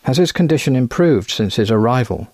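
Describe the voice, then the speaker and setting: Man as a courteous, formal gentleman investigator. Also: medium pitch, animated, a little fast, no echo, clean studio sound, voice pushed up, close to an everyday voice. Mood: polite, probing. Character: polite, genial gentleman investigator, formal and measured.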